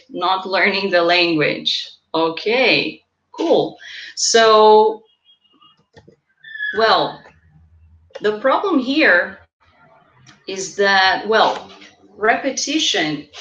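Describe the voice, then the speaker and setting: Woman, unhurried, encouraging webinar presenter, echoey room sound. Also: medium pitch, a bit bright. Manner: measured, encouraging, thinks aloud with fillers. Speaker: webinar presenter, pronunciation teacher trainer. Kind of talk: live webinar teaching, reading chat comments. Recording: roomy echo.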